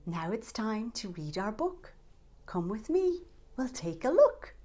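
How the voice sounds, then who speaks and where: High-voiced, unhurried woman presenting for children. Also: soft background music. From Ireland. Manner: animated, exaggerated, sing-song delivery for young children. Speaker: children's storytime host and entertainer. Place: small indoor set with fabric backdrop.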